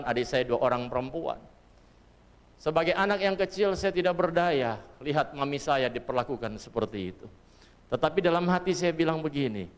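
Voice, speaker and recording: Man preaching in a reverberant church hall. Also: on the high side. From Papua, Indonesia. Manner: earnest storytelling, addresses congregation directly. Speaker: pastor. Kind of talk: sermon with personal testimony.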